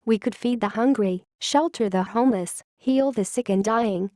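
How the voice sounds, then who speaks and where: A woman playing a wistful, grave founding scientist who confesses a secret history in a measured, literary audio-log monologue. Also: on the high side, darkish tone, no room sound, studio quality, close to an everyday voice. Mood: reflective, grave.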